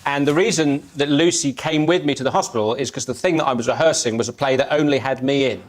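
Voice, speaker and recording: Guy telling a funny story on a panel show, kinda halting, stumbles over words. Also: mid-range voice. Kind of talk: comic anecdote on a panel show. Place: TV studio with audience.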